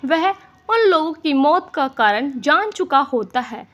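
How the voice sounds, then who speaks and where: A woman's voice: sits high, big pitch swings, slow pace. A woman narrating a scripted cartoon story as its storyteller.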